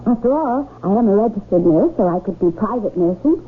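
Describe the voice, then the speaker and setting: A woman acting a supportive, practical young wife in an old-time radio drama, gentle and encouraging, on a noisy recording. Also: medium pitch, expressive, brisk, narrow, tinny audio, lightly acted. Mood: eager.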